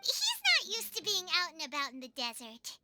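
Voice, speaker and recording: A woman's voice: very high voice, wildly swooping pitch, moderate pace, loud music behind the voice, exaggerated voice. A woman voicing a small, cheerful childlike fairy companion in an anime game, chirpy in manner. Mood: chatty.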